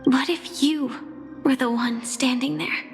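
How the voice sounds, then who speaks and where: A woman playing an earnest, gentle young heroine in a video game, speaking directly with reverb around her voice. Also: high voice, steady tone, measured pace, gravelly. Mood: questioning, concerned. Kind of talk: scripted game voice acting. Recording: reverberant, under game music.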